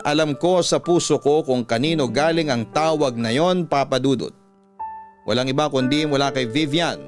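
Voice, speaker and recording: A Filipino man tells a story in the manner of classic radio drama. His voice is smooth and a little high, recorded close and dry. He speaks in measured, sentimental, confessional phrases as a storytelling host. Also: no echo. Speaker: radio-style storyteller host reading a letter.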